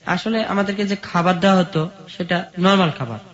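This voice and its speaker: Bangladeshi guy telling his life story, pretty high voice, muffled lo-fi recording. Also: fairly quick, a bit bright. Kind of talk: personal life-story narration. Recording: dull lo-fi sound.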